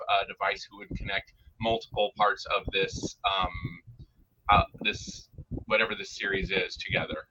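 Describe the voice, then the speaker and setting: A man giving his opinions in a casual review discussion, often pausing and restarting as he thinks. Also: on the low side, pushed and bright, lo-fi sound, slight background noise. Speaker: podcast guest reviewer.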